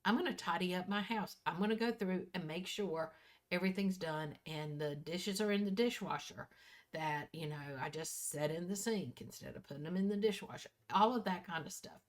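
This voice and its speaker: A woman's voice: on the low side, normal speed. A woman in her early sixties chatting casually to her viewers in a folksy, candid way, often repeating and correcting herself. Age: early 60s. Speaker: vlogger. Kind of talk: casual personal chat to viewers.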